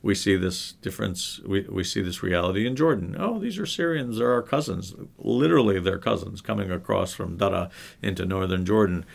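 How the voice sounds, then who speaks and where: A man's voice: deep voice, animated, fairly quick, creaky, hardly any pauses. A middle-aged man, a researcher telling anecdotes in a thoughtful, conversational way during a panel discussion.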